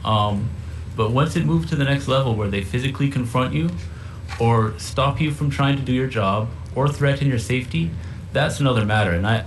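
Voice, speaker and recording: A man giving public testimony as a commercial fisherman in a halting, plain-spoken way. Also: medium pitch, low-quality recording. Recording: hearing room with clear background noise.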